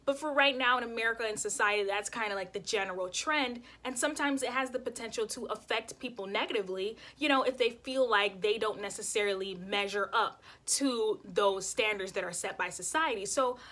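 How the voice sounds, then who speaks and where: A woman's voice: fairly high pitch, a little fast, few pauses, sharp tone. A young woman hosting her vlog, chatting casually to camera with lots of fillers. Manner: chatty, lots of "you know" and "kind of". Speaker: vlog host. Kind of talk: casual talking-to-camera narration.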